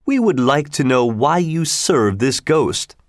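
A man's voice: medium pitch, expressive, slow pace, no echo, clean studio sound. A man voicing a skeptical male theater manager in an audiobook, asking pointed, probing questions.

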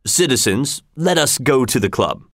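A man's voice: medium pitch, expressive, moderate pace, a bit bright, no room sound, clean studio sound. A man playing a stern, suspicious leader of citizen guards, with a commanding manner, in an audiobook story. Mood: brisk, satisfied. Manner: commanding, challenging.

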